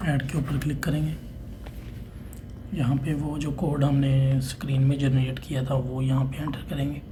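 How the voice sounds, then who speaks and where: Male tutorial presenter, medium pitch, informal step-by-step delivery, noticeably noisy recording. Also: completely flat delivery, moderate pace. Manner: informal, talking through each step while doing it. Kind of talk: step-by-step tech tutorial narration. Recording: clearly noisy background ambience.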